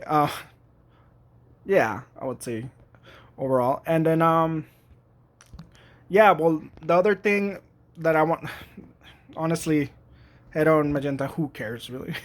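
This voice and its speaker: Man, high-ish voice, casual reviewer talking from his desk; rambling, full of "um" and restarts, blunt and excited. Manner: rambling, frequent fillers and restarts; enthusiastic, blunt opinions. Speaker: YouTube reviewer. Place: at home at his computer.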